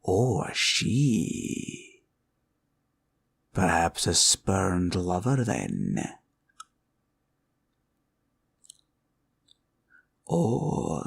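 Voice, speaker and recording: A man playing a scheming, condescending male green dragon villain in a roleplay ASMR audio, with a gravelly, husky voice and a slow, probing drawl. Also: on the low side, full character voice. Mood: intrigued, calculating.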